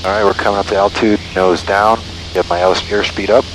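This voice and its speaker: A man's voice: lowish voice, brisk. A man flying a small plane, chatting casually and talking himself through each task over a headset. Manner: talks self through tasks. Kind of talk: casual cockpit chat, narrating own flying. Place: small propeller plane cockpit in flight. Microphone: aviation headset. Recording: loud steady aircraft cabin noise.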